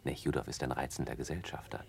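An actor playing an urbane, condescending society gentleman at cards in a radio play, with a gravelly voice and slight background noise. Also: very deep voice, fairly even intonation, quick pace, heavily acted. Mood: urbane, amused. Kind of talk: mid-century German radio play acting.

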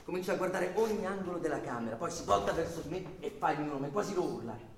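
An actor playing an anxious, over-polite bank clerk on trial in an Italian radio drama, agitated, insistent and deferential. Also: male voice, on the high side, lively intonation, quick pace, vocal fry, slight background noise. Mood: excited, explaining. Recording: roomy, distant stage sound with music.

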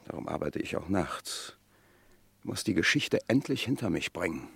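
A hard-working scientist in a radio mystery. The voice is husky and unpolished, with a familiar conversational manner. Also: male voice, low-pitched, very animated, moderate pace, a bit bright, heavily acted. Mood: calm, friendly. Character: busy male scientist, a doctor working nights. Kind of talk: old German radio mystery play acting.

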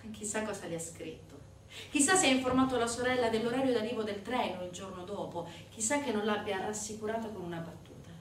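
A woman performing a scripted dramatic monologue as a stage narrator, with measured, weighted phrases. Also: mid-range voice, slight background noise. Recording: roomy, distant sound with faint music.

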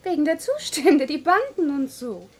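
An actress playing a cheeky, flippant, fashionable secretary in a German science-fiction radio play. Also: female voice, high-pitched, big pitch swings, normal speed. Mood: nonchalant. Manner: flippant, matter-of-fact. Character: breezy, cheeky, modish office secretary. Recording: vintage radio recording, faint noise.

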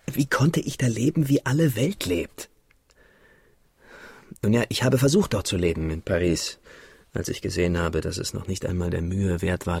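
An actor playing a haunted, guilt-laden young idealist in a radio drama, confessional and intense, with a breathy, husky, creaky voice. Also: male voice, low-pitched, darkish tone, dry recording, heavily acted.

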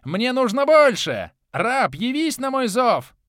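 Mad male god, shrill, shouting, wildly swinging pitch, manic. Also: sing-song, slow, no room sound, studio quality, heavily acted. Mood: manic glee. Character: mad cackling male deity, gleeful and childish.

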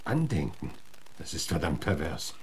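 An actor playing a gruff, coarse-mouthed frontier marshal in a German radio drama, blunt and questioning. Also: male voice, extremely low pitch, wildly swooping pitch, normal speed, exaggerated voice.

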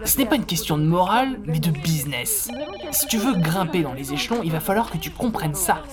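A woman's voice: deep voice, animated, brisk, over music. A woman playing a cynical, pragmatic, sales-driven office colleague with a brash, dismissive manner in an audio drama. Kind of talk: scripted audio-drama acting.

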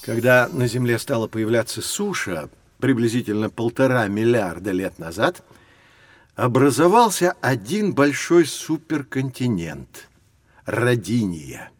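A man playing a patient science teacher who guides children, explaining in a measured way in an educational audio drama. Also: medium pitch, very animated, no echo. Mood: measured, explanatory.

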